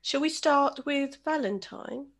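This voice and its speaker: Woman hosting a podcast, slightly high voice. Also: measured pace. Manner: reads an introduction, then chats and hands over by name. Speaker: podcast host. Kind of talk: hosting a recorded video-call podcast.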